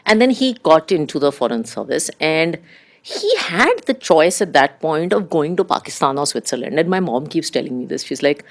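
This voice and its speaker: A middle-aged woman with an Indian accent, a native Urdu speaker, talks as an interview guest. Her voice sits slightly low but moves through a very lively range. She tells stories conversationally and animatedly, slipping into quoted remarks. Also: normal speed. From India, raised abroad in diplomatic postings. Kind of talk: conversational personal storytelling.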